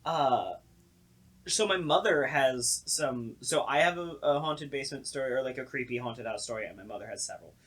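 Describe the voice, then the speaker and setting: A woman with a slightly husky, rough-edged voice, hosting an informal conversation. She talks casually, with a dry, joking delivery. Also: a bass voice, normal speed. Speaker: host. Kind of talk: casual chat.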